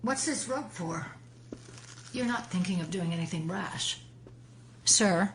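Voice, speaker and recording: A woman's voice: fairly low pitch, expressive, slow, background noise. An actress playing a serious, guarded and determined female federal agent in an audio drama, restrained and earnest. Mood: concerned, questioning.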